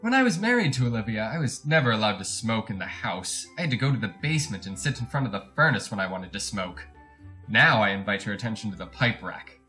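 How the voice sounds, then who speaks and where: A man's voice: fairly low pitch, lively intonation, quick pace, sharp tone, faint music behind. An actor playing a chatty, over-polite, creepy encyclopedia salesman who bullies his dog, in a retro radio drama monologue. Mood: gloating, sinister. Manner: fussy, over-polite, wordy, theatrical.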